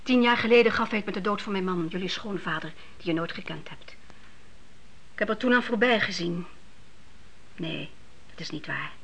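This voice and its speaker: An actress playing a God-fearing older widowed mother in a biblical radio play, speaking solemnly and gravely in grief. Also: mid-range voice, moderate pace, long pauses, fairly bright tone. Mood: grief-stricken, solemn. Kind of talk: Dutch radio play acting.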